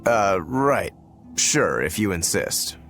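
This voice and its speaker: A man's voice: sits low, very animated, slow, a bit bright, faint music behind, full character voice. A man playing an easygoing, wry young male demon swordsman in a video game, casual and curious. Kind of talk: video game cutscene acting.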